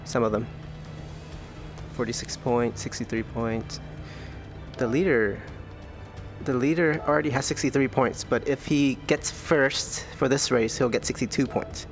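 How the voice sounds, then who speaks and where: A man giving low-key, casual commentary on his sim race, thinking aloud, his voice worn from losing it. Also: measured pace. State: losing his voice, clearing throat. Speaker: sim racing streamer. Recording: music and car engines behind. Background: racing game music and engine sound.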